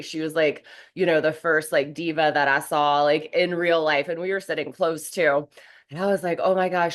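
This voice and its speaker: Hoarse low-voiced woman, chatty. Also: a bit bright. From North Carolina, USA. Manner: chatty, rambling, many fillers. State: hoarse from a cold. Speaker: guest, professional wrestler. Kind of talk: casual interview conversation.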